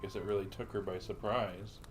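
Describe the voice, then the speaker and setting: A man's voice: lowish voice, flat delivery, moderate pace, slight background noise. A man livestreaming a game, reading lines aloud and commenting as he muses to himself. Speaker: streamer. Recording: game music behind voice. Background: game music.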